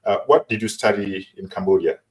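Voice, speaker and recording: A man hosting an interview, asking long questions with frequent pauses and restarts. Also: lowish voice, steady tone. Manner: halting, many fillers and restarts. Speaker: interview host.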